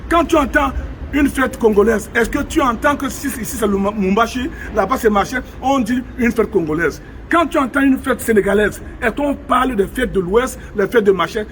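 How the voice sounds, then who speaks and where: A man's voice: high voice, slight background noise. A man from Cameroon, speaking emphatically to viewers from an outdoor square, with many rhetorical questions. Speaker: vlogger. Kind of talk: impassioned on-location address to viewers.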